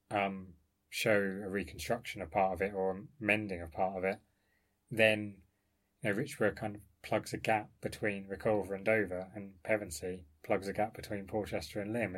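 Male researcher, lowish pitch, informal scholarly conversation, discursive and explanatory with careful qualifications. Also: a bit bright.

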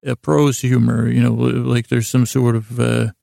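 Male sleep-podcast host, mellow and very creaky, stammering through lighthearted, self-deprecating tangents. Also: mid-range voice, little pitch movement, no room sound, clean studio sound. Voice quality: gravelly, creaky. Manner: halting, meandering, self-interrupting, stammering delivery. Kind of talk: rambling bedtime sleep podcast monologue.

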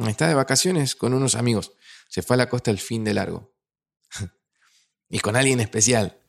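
An actor with a Rioplatense accent playing a friend and new father who is gruff, teasing and affectionate. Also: mid-range voice, moderate pace. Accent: Rioplatense Spanish.

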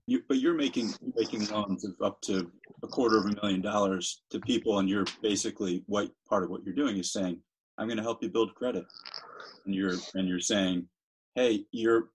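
A man's voice: low-pitched, husky, rough recording. A man hosting the discussion, summing up his guest's work back to her. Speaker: host.

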